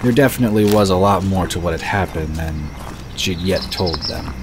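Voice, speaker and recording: A man's voice: low voice, fairly even intonation, moderate pace. A man narrating a scripted fantasy story in the third person as the narrator of an audio drama. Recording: background noise of birds and crickets.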